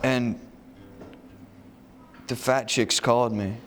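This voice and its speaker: A man's voice: mid-range voice, fairly even intonation, takes it slowly, faint music behind. Rambling man telling a jokey story. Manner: rambling, full of "like" and "you know" fillers; jokey, mock-serious. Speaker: student show presenter.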